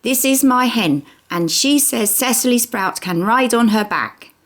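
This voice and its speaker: A gleeful little toddler boy, acted out by a woman in a storybook, speaking in a raised, childish pitch. He sounds beaming and very proud of himself. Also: expressive, unhurried, fairly bright tone.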